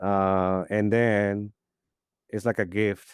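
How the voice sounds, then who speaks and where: Deep smooth-voiced man. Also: takes it slowly, no echo, clean studio sound. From Peru. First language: Spanish. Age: 50s. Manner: rambling, animated storyteller with many restarts. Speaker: poet, podcast guest. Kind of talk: casual storytelling interview.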